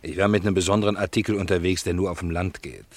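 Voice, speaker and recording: A man's voice: deep voice, fairly even intonation, rapid-fire, no room sound. A man playing a teasing, flirtatious travelling salesman and regular customer in a German radio drama, jovial and bantering.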